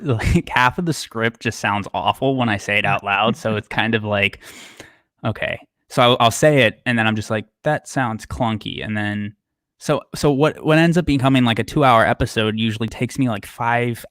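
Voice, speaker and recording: A man's voice: fairly low pitch, a bit bright, dry recording, clean studio sound. A man talking casually as a podcast guest, self-deprecating, with lots of fillers and restarts. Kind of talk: casual interview chat.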